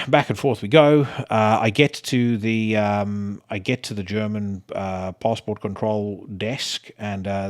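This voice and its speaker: A middle-aged man from South Africa with a South African accent, hosting a podcast in casual, rambling chat, swearing freely and restarting often. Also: lowish voice. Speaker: podcast host.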